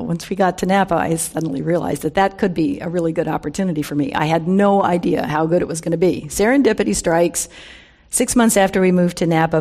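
An American woman in her seventies speaks in a slightly low voice. She tells stories informally to a live audience, conversational and humorous, stressing key words and slipping in wry asides. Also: warm tone. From San Francisco Bay Area, USA. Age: 70s. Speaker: guest speaker, wine industry veteran.

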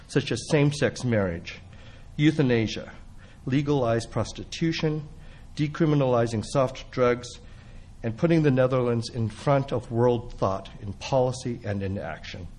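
A man's voice: medium pitch, a little mellow. A man acting as host, formally introducing a guest speaker to an audience. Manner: formal, reads a prepared introduction. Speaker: event host.

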